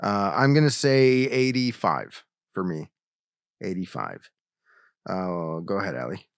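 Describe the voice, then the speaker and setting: A man's voice: deep voice, expressive, measured pace, gravelly, no echo, clean studio sound. A man co-hosting a trivia podcast, chatting casually and reading out questions in an easygoing, good-humored way. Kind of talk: casual chat.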